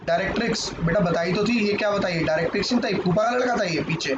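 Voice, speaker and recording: A hoarse man from India teaches maths in a live class and keeps joking with his students. His voice sits high for a man. The recording is muffled, with steady background noise. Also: very fast, echoey room. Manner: banters with students, mixes Hindi and English. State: hoarse, sore throat.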